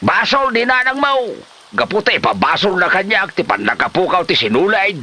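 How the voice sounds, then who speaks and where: A man's voice: high voice, sing-song, moderate pace, bright, forceful tone, exaggerated voice. A man acting a stubborn, quarrelsome older husband and father, blustery and indignant, in an Ilocano comedy radio drama. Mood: stubborn, grumbling.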